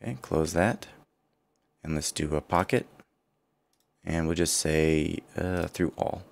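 Deep, gravelly male tutor with a worn-out voice, calm self-correcting software walkthrough. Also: takes it slowly. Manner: thinking aloud, self-correcting. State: partly lost voice, low and rough. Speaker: CAD tutorial instructor. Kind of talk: screen-recorded software walkthrough.

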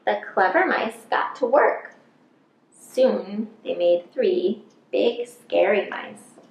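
A woman's voice: mid-range voice, animated, vocal fry, dull audio. A woman in her thirties reads a picture book aloud to young children, explaining simply and stressing key words. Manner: slow, deliberate, emphasizing key words. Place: small plain indoor room. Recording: roomy indoor echo.

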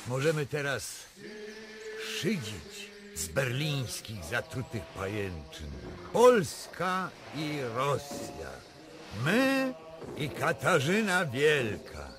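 Slow, tragic doomed Polish king. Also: mid-range voice, wildly swooping pitch, background noise, heavily acted. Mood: exalted, uneasy. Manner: solemn, declamatory, impassioned. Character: tragic doomed Polish king, proud, anguished, self-sacrificing. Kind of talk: radio drama acting.

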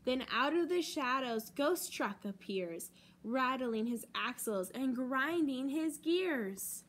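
A young American woman reading a picture book aloud to children as a storytime host, with deliberate, dramatic emphasis on key words. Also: high-pitched, animated, fairly bright tone. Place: library children's room, indoors.

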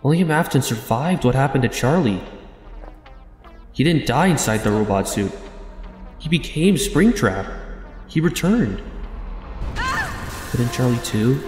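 A young man acting in a comic dub as a brooding, grieving, haunted young man, weary and halting in his terse delivery. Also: mid-range voice, huge pitch swings. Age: young adult. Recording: roomy reverb, faint music underneath.

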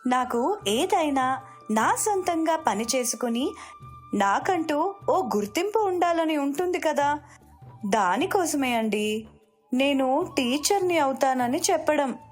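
A woman's voice: high voice, full character voice. A woman voicing a frustrated, complaining housewife who longs to teach, in a moral story. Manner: complaining, insistent. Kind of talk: moral story dialogue acting.

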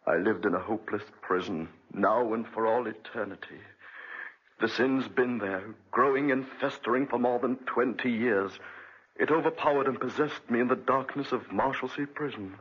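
A man's voice: mid-range voice, moderate pace, slightly rough, close to an everyday voice. An actor playing a guilt-ridden, bitter Englishman with a brooding, dramatic delivery in a 1940s radio drama. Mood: brooding, haunted. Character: haunted, guilt-ridden Englishman, bitter former debtor prisoner. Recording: dull restored 1940s radio recording.

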